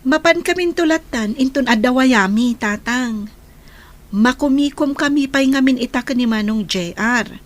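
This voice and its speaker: A woman's voice: high voice, measured pace. A young woman with an Ilocano accent playing a caring but firm daughter in an audio drama. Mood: reluctant. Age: young adult.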